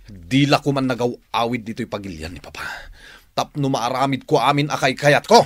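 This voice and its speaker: A man's voice: medium pitch, normal speed, a bit bright, no echo, natural voice. A man playing the greedy, brooding adult son of a crime boss, with dramatic radio drama delivery. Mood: bitter, determined.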